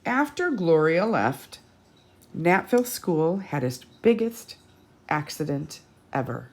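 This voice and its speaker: A woman reading a picture book aloud to children as a storytime host, animated and teacherly, with dramatic delivery and little asides. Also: low voice, slow.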